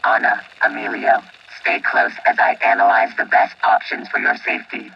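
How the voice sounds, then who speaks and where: An actor playing a literal, unflappable robot protector in a radio play, formal and clinical, through a robotic filter. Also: male voice, normal speed, dull audio. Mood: deadpan, analytical.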